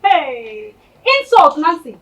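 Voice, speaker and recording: A woman's voice: high-pitched, sing-song, slow, very noisy, exaggerated voice. A woman acting as a blunt younger sister who scolds and mocks her sibling. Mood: scolding. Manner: mocking and scolding. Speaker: actor in a comedy skit. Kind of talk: comic skit acting.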